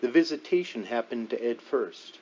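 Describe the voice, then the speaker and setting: A man's voice: medium pitch, lively intonation, normal speed, a little mellow, highs cut off, slight background noise. A man reading a paranormal book aloud as scripted narration, with a dramatic storytelling delivery. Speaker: audiobook reader.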